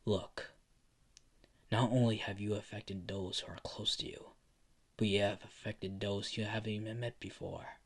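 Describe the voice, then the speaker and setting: A man voicing a calm, solemn, aging godlike being who speaks slowly and formally in a hushed voice. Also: on the low side, husky.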